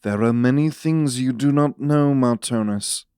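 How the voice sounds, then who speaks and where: Imperious, cryptic empire minister male actor, solemn and unhurried, resonant clean tone, fantasy radio play. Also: medium pitch, warm tone, smooth, dry recording, clean studio sound, close to an everyday voice. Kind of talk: fantasy audio drama acting.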